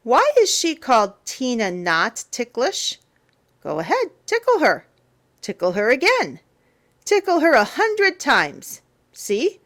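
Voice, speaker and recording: A woman reading a children's picture book aloud as a storyteller, in a theatrical, playful, emphatic way with drawn-out words. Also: on the high side, a bit bright. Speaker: storyteller, teacher.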